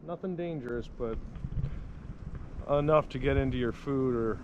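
Man, medium pitch, casual trail chat, handheld camera, noticeable windy noise. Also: normal speed, dull audio. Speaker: hiking vlog co-host. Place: outdoors in bare woods and inside an open wooden shelter. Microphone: handheld 360 camera. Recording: windy, noisy outdoor camera audio.

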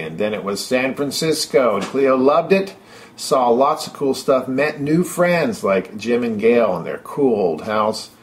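A middle-aged man giving an upbeat, enthusiastic first-person narration of a travelogue. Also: fairly low pitch.

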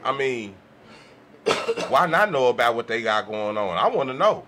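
A man's voice: mid-range voice, sing-song, a little slow, noisy background. A man co-hosting a podcast, arguing bluntly and casually with slang, swearing and repeated tag phrases. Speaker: podcast co-host. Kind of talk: casual group debate with slang and profanity. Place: small makeshift home studio, indoors. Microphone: podcast mics on boom arms.

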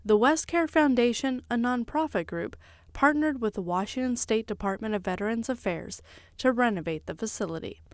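A woman in her forties, a television reporter, delivering a scripted news report in a clear, measured way. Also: a little high, expressive, no echo, studio quality. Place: television studio.

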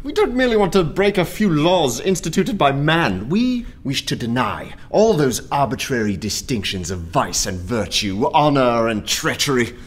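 An actor playing a fervent, gleeful anarchist poet in a radio play, with a theatrical, declamatory manner. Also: male voice, mid-range voice, sing-song, normal speed, hardly any pauses, husky. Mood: fanatical. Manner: theatrical, declamatory, laughing zeal.

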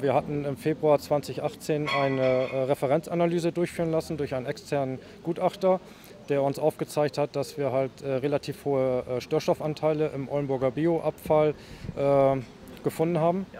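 A man in his forties giving a press interview as a manager, speaking haltingly with frequent hesitations. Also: a little high, steady tone, dark tone. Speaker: waste company manager. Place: outdoors beside a garbage truck. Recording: clear outdoor background noise.

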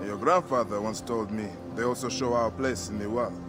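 An actor playing a stoic warrior father who speaks calmly and solemnly in a video game. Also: on the low side, moderate pace, music in the background, natural voice. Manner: calm, solemn. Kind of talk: video game cutscene dialogue.

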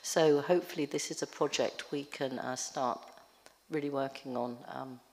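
Woman councillor, croaky, very low voice, earnest, hall reverb. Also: steady tone, moderate pace, a little mellow, slight background noise.

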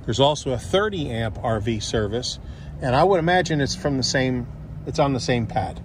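A man's voice: mid-range voice, animated, moderate pace, noisy background. A man working as a real estate agent, talking casually and jokily through an unscripted walkthrough tour. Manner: folksy, offhand, jokey.

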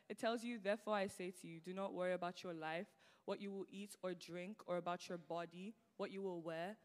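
A teenage student girl from Nigeria with a British-tinged Nigerian accent, chatting casually on a church stage into a handheld mic, full of "like" fillers. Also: medium pitch. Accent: British-tinged Nigerian English. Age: teens. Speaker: student guest. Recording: long reverb of a large hall.

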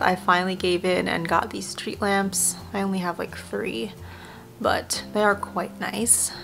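A woman's voice: medium pitch, creaky, a bit bright. A young woman talking casually over a game tour in a chatty, rambling way, her voice a bit worn, often dropping to a near whisper. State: voice a little worn. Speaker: video creator. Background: faint game music.

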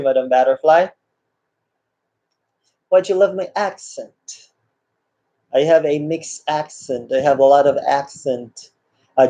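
A man's voice: on the high side, warm tone. Man chatting on a laptop live stream. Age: 30s. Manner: friendly, greets viewers by name, reads chat aloud, laughs often. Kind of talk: casual live stream chat, reading out comments. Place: small room indoors. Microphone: laptop webcam mic. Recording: dull lo-fi laptop sound.

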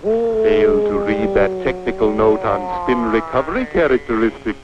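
An actor playing a taunting villain in a 1940s cartoon, with a deliberate, mocking delivery. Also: male voice, a little high, lively intonation, very noisy, close to an everyday voice. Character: sneering male villain, taunting enemy of pilots. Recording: muffled 1940s film soundtrack, loud music.

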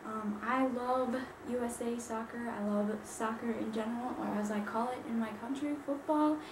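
A young woman who grew up in Zambia, reacting casually to football highlights and exclaiming often as a reaction video host. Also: moderate pace. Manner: excitable, exclaims often, laughs easily. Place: small bedroom indoors. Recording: echoey room, distant mic, loud hiss.

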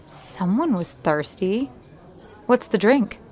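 A woman's voice: mid-range voice, lively intonation, slow pace, darkish tone, soft background music. A woman playing a friendly, easily flustered young woman in an amateur audio drama, conversational and apologetic. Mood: chatty.

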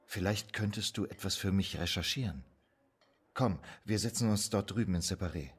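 An actor playing a composed, gently teasing Viennese psychoanalyst in a German audio drama, with a gravelly, husky voice. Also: male voice, sits low, normal speed. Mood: confiding.

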